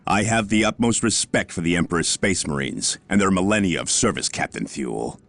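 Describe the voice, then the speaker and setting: A man playing a stern, unyielding military commander in a video game, formal and commanding with a gravelly voice. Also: deep voice, animated, a little fast, fairly bright tone, no room sound, heavily acted. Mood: firm, resolute. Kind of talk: dramatic video game acting.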